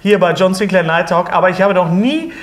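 A man's voice: sits high, brisk. A middle-aged man hosting an interview show, speaking expressively with playful emphasis. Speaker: talk show host. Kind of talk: lively interview presenting. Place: small indoor studio set at a table.

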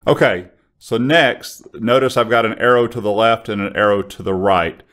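A man presenting a casual software tutorial into a close studio mic. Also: fairly low pitch, steady tone, moderate pace. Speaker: software trainer. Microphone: large studio condenser microphone on an arm, close.

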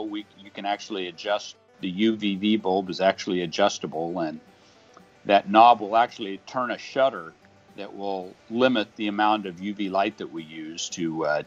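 Low-voiced man explaining over soft guitar. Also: normal speed. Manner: conversational, plain-spoken, with homespun comparisons. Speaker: HVAC company representative explaining an install. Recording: dull lo-fi audio with faint guitar music.

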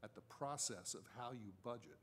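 A man's voice: medium pitch, moderate pace, a bit bright, a little hiss or hum. A man speaking as a council member in a meeting, blunt and insistent as he argues. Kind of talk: critical discussion at a public budget meeting. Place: council chamber.

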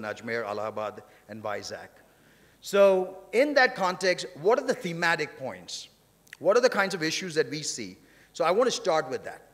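A man's voice: mid-range voice, a bit bright. A man giving a conference speech as session chair, conversational yet emphatic, repeating phrases for emphasis. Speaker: session chair and speaker at a technology summit. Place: large indoor conference hall, on stage. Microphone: podium gooseneck microphones. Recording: reverberant hall sound through podium microphone.

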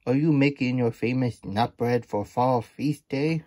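A man's voice: mid-range voice, a little slow, mellow, dry recording, natural voice. A man voicing a kind, generous, eager female porcupine in a children's storybook.

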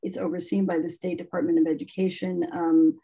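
A woman's voice: on the low side, flat delivery, a little fast, warm tone, slightly rough. A woman speaking frankly and chattily as a guest on a video call about immersion schooling. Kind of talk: casual video-call talk.

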